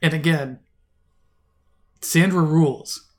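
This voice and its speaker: A man with a slightly higher voice co-hosts a casual album review chat. He rambles and restarts his sentences often, leaning on fillers as he works through his points. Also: takes it slowly. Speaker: podcast co-host.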